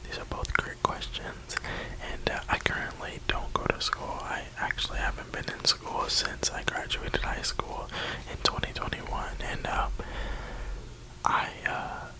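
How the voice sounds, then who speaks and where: A man's voice: a little slow, low-quality recording. A young man in his early twenties, from New York and Florida, whispering through a rambling Q&A and repeating his words, sitting close to the mic. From New York and Florida, USA. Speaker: ASMR creator. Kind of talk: whispered ASMR Q&A.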